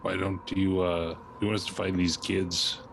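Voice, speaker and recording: A man's voice: low voice, little pitch movement, moderate pace. A man casually roleplaying in a tabletop game, with noisy ambience around his voice.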